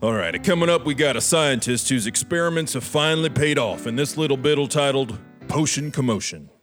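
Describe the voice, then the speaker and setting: A man playing a folksy, rambling old cowboy host with an American drawl and a deadpan manner. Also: mid-range voice, fairly quick, lightly acted. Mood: confiding. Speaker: host of a comedy sketch show. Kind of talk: folksy comic monologue linking sketches. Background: guitar and harmonica music.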